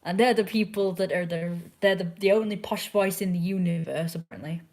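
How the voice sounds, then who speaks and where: A young woman with an English accent, hoarse from a sore throat, chatting casually and opinionatedly to a viewer from a chair at home, often dropping into hushed asides. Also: lowish voice, brisk. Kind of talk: casual rambling chat. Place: indoors at home, curled in a chair.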